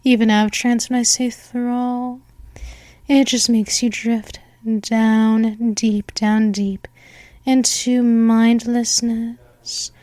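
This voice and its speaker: Woman as vampire mistress for a hypnosis roleplay: soft, coaxing, unhurried, higher voice, bone-dry close sound. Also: little pitch movement, no room sound. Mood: seductive, possessive. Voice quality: soft, slow, hypnotic, with drifting whispers. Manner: coaxing, repetitive, counts down. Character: seductive female vampire mistress, possessive and commanding.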